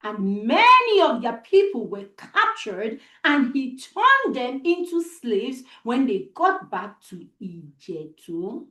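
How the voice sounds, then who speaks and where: Slow, high-pitched Nigerian woman storyteller. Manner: deliberate, expressive, with dramatic pauses and repetition. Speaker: children's storytelling host. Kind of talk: live interactive storytelling for children. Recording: roomy, echoey sound.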